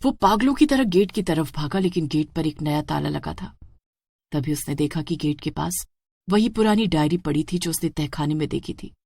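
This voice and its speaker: Woman telling a scary story, low voice, really dry close sound, dramatic read. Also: lively intonation, normal speed, vocal fry, a little mellow, husky, no room sound. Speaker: horror story narrator. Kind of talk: dramatic scripted narration.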